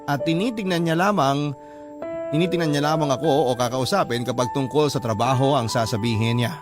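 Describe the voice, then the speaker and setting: A man's voice: medium pitch, a little fast, close to an everyday voice. A man playing an earnest young letter sender narrating his love story, with a Filipino accent. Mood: earnest, sympathetic. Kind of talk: earnest first-person scripted radio drama narration. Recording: clear music bed behind the voice.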